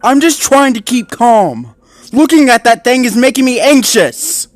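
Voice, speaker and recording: Male reader doing a nervous, stammering big dog, pushed high, easily flustered. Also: slow. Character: jittery anxious male Great Dane. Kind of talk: storybook character voice.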